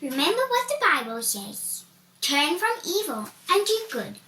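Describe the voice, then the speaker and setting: Upright bear preacher for a children's tale female narrator, high, bright, admonishing, slightly distant. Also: big pitch swings, measured pace, full character voice. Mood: earnest admonishing. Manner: admonishing, scripture-quoting. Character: upright, pious male bear preacher, adult. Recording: roomy, distant mic, faint noise.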